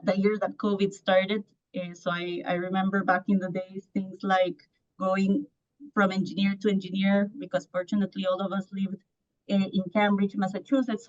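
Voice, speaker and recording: A woman's voice: medium pitch, a little mellow. A woman from Costa Rica in her thirties, a startup founder answering on a panel in an earnest way with many restarts. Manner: earnest, lots of restarts and fillers. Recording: muffled lo-fi audio.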